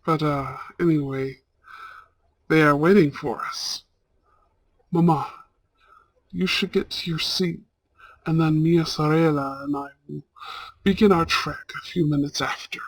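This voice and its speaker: A man playing a warm, protective older brother from a mafia family, speaking tenderly and earnestly in a romantic audio roleplay. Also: fairly high pitch, fairly even intonation, no room sound, rough recording. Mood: gentle, encouraging. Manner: tender, earnest, halting delivery with sprinkled Italian endearments.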